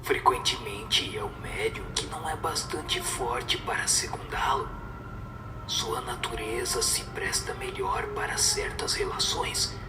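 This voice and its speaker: A man voicing a solemn spirit who whispers moral admonitions in a breathy, slow, radio drama delivery. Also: mid-range voice, animated, reverberant, over music, pitched below the performer's own voice, close to an everyday voice. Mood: grave, admonishing.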